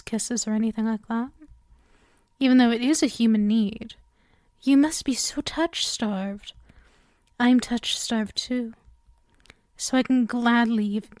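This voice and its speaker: A woman performs a sealed female demon who is clingy and utterly devoted, in an intimate ASMR roleplay. Her voice is fairly high, soft and breathy, often slipping toward a whisper. She speaks very slowly and coaxingly, in a close, dry recording. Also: no room sound. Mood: yearning, affectionate. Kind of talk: ASMR roleplay, intimate monologue addressed to listener.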